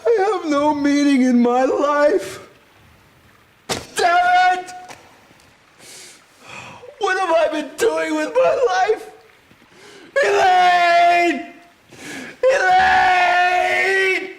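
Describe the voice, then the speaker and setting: A man's voice: extremely high pitch, fairly even intonation, very slow, long pauses, a bit bright, slight background noise, exaggerated voice. An actor playing a devoted, grieving man who speaks earnestly in a game cutscene. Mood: anguished. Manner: earnest, breaks into anguished crying. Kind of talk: 1990s game cutscene acting.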